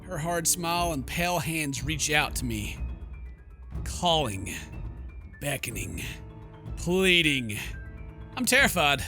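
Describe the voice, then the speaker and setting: A man hosting a game playthrough, mixing jokey commentary with theatrical read-aloud narration in an animated manner. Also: fairly high pitch, takes it slowly, pauses often, soft background music. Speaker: gaming video host.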